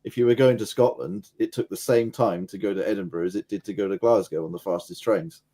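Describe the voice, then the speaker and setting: An English-born man co-hosting a casual stream chat, telling quick stories and teasing the guests. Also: lowish voice, warm tone. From England. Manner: quick, teasing, jokey storyteller.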